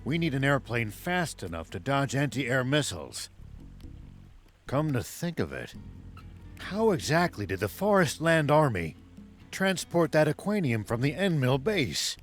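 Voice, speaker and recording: An old commander from a game cutscene, with a mid-range voice. He is serious and authoritative, deliberate in phrasing but expressive in pitch. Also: faint music behind. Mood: grave, deliberate. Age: older. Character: seasoned old military commander, calm, decisive, serious. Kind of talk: video game cutscene acting.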